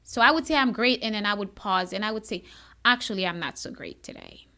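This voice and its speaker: A woman podcast host from Haiti talks in a bright, crisp-edged voice at a middle pitch. Her delivery is candid, chatty and bubbly, like an open conversation with a guest. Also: fairly quick. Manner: animated, chatty, self-described bubbly.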